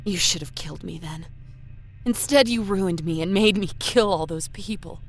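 A woman's voice: fairly low pitch, moderate pace, faint music behind. A woman playing a vengeful, unhinged female killer in a horror audio drama, intense and emotionally raw. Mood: bitter accusing.

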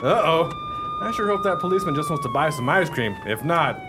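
A man's voice: high-pitched, huge pitch swings, brisk, very noisy. A man acting in a radio play as a brash, bossy veteran ice cream salesman with a hustler's patter. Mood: excitable.

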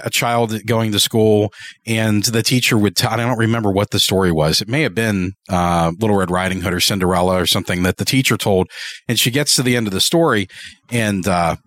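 A man with a lowish voice hosts a podcast conversation. He speaks casually and thoughtfully, pausing as he recounts, in a dry recording with little room sound. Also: fairly bright tone, no room sound. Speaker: podcast host, interviewer. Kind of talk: casual interview chat.

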